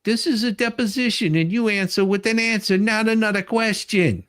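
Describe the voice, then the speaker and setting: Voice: mid-range voice, moderate pace, fairly bright tone, no room sound, studio quality, natural voice. A performer reading the part of a pushy, testy male lawyer who argues insistently with a witness. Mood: testy, argumentative. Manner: insistent, argumentative. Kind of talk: dramatic reading of a deposition transcript.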